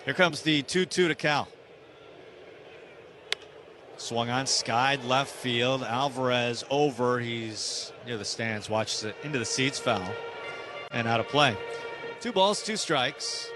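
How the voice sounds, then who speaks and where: A man's voice: medium pitch, unhurried, music in the background. A man with an American accent calling live baseball play-by-play on radio in short, clipped phrases.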